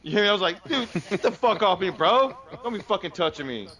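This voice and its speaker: A man in casual chat, telling a story loudly with lots of swearing. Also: high-pitched, fast talker. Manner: loud, swearing, animated storytelling.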